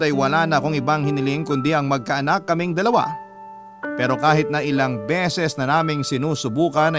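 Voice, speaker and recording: An actor playing an earnest husband with a Filipino accent, telling his sorrowful story in a measured, confiding way for a radio drama. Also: fairly high pitch, lightly acted. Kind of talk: radio drama letter narration.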